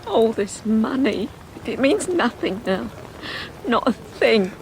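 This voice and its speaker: A woman's voice: on the high side, very animated, slow, a little hiss or hum, heavily acted, rough recording. A woman playing an anxious, self-doubting wife and mother in an audio drama, speaking in a plaintive, hesitant way. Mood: grieving.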